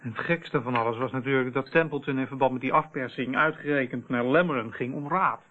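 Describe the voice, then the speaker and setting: An actor playing a matter-of-fact, proud police officer in a Dutch radio play, on an old narrow-band recording. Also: male voice, medium pitch, a little fast, lightly acted.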